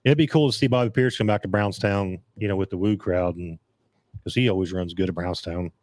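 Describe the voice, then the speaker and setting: A man talks in a fairly low voice, his throat gone a little scratchy from a long night of talking. He rambles easily, restarts his sentences and drifts between topics, recorded close and dry with no room sound. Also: fairly quick, a little mellow, no room sound. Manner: rambling, restarts often, reads live comments aloud. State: voice getting scratchy. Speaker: podcast host. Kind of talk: casual chat about dirt track racing.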